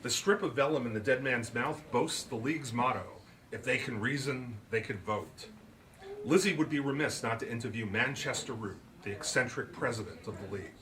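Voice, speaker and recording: A man giving a live reading of his comic mystery novel as storybook narration. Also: medium pitch. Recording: live reading with clear background noise. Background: clear ambient noise.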